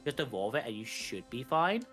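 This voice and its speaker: A man's voice: medium pitch, very animated, a little fast, fairly bright tone. Man co-commentating a game over music. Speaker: speedrun co-commentator. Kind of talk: casual gaming commentary. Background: video game music.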